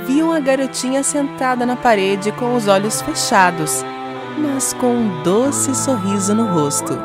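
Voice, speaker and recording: A woman giving scripted narration of a children's fairy tale. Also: on the high side.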